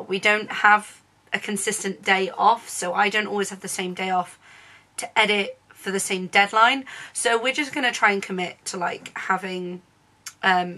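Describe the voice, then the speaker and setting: Young female vlogger, bright voice, chatty, hoarse from a cold in later clips. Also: mid-range voice. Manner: chatty, lots of "um" fillers. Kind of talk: casual vlog chat. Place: bedroom at home.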